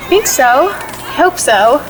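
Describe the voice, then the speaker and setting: Brash teen friend played by a woman: very high, gossipy, slangy, scornful, noisy ambience behind. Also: sing-song, slow pace, full character voice. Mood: disgusted. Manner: slangy, scornful valley-girl phrasing. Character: brash, squeamish, gossipy teenage girl. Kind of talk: contemporary audio play acting.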